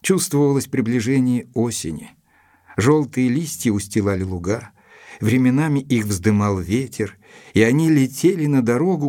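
An actor playing an observant gentleman doctor, narrating his adventure in measured, literary fashion for an audio drama. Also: mid-range voice, no echo, clean studio sound. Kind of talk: scripted first-person narration in audio drama.

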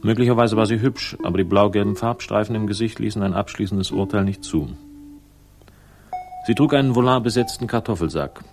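A man's voice: on the low side, a little fast, lightly acted. An actor playing a wry, world-weary male private investigator, narrating dryly in a 1960s German radio crime drama. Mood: deadpan narrating. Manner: dry, wry, ironic. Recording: music behind the voice. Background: music.

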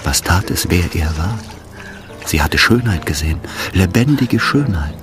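A man narrating a literary radio drama in a measured, solemn manner. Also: sits low, expressive. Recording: orchestral music behind the voice.

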